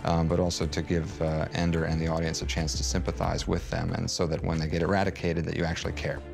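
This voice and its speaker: Man, deep creaky voice, fast, interview, music behind. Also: level tone, darkish tone.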